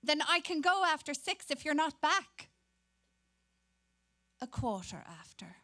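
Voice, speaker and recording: A woman's voice: sits high, sing-song, measured pace, bright, forceful tone, heavily acted. A woman playing a bored, gossipy young typist who turns dutiful on the telephone, in a dramatic stage reading.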